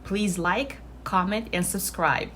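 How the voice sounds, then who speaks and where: A woman's voice: on the low side, animated, slow. A woman whose native language is Haitian Creole, chatting casually and cheerfully to her viewers as the host of a vlog. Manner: upbeat, chatty, addresses viewers directly.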